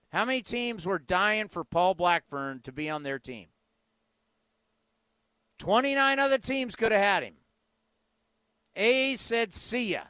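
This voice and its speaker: A man with a high, clear voice hosts a sports radio show, talking in an impassioned, emphatic, conversational way. The sound is dry and close. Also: sharp tone, no room sound.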